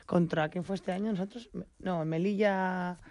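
A man's voice: sits high, fairly even intonation, moderate pace, a little mellow, no echo. A man chatting casually as a contributor on a sports radio show. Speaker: radio show contributor reading listener messages.

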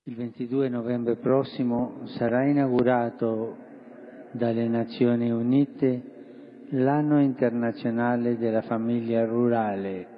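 A man delivers a public address very slowly and evenly, with almost no rise or fall in pitch. The sound is thin and narrow, like an archived broadcast heard over a phone. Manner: slow, deliberate, monotone.

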